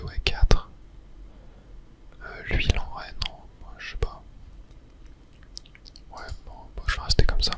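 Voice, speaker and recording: A gamer speaks entirely in a soft, breathy whisper. The delivery is ASMR gameplay commentary, casual and muttered, thinking aloud in slangy little asides. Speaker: video game streamer narrating own play.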